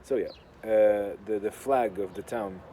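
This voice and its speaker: A man from Portugal with a Portuguese accent rambles casually as a video host, with a deadpan, sardonic, sweary manner. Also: fairly low pitch, unhurried. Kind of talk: casual rambling talk to camera. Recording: clear outdoor urban construction noise. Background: noise of building works.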